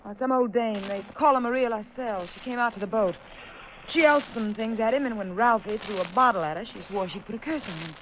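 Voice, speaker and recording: An actress playing a sarcastic, prickly gold-digger wife in a 1940s radio drama, curt and wisecracking. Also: female voice, fairly high pitch, quick pace, a bit bright, lightly acted. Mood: sardonic. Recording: noisy, dull 1940s radio recording.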